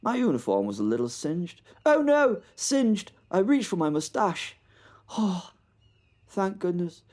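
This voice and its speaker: A man's voice: high voice, sing-song, a little slow, darkish tone. An actor playing a plucky WWI pilot with a moustache, telling his story in a flustered, stammering, self-mocking way. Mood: wry. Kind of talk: comic first-person audio drama narration.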